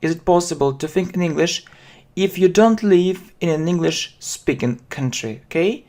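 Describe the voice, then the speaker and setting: A man in his thirties with a Russian accent, teaching English slowly from a small room, stopping often to repeat and define phrases with plenty of fillers. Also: a little high, a little mellow. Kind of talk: slow explanatory lesson.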